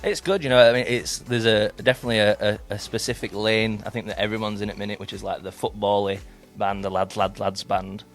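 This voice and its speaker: A man, a band singer chatting in a relaxed way on a radio interview. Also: fairly low pitch, brisk, few pauses, soft background music. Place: radio studio.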